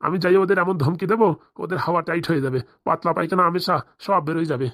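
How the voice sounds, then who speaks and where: A man's voice: high-pitched, racing, fairly bright tone, dry recording, clean studio sound. A man voicing a greedy, fawning corrupt policeman with a rural Bengali accent in a comedy cartoon. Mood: fawning, wheedling. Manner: obsequious, boastful. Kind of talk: comic cartoon dialogue.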